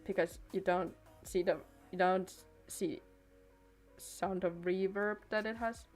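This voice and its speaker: A woman's voice: medium pitch, fairly even intonation, slow, a little mellow, faint music behind. Hesitant teenage girl rambling on stream. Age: late teens. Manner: hesitant, many restarts and trailing thoughts. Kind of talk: casual rambling stream chat. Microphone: desk microphone.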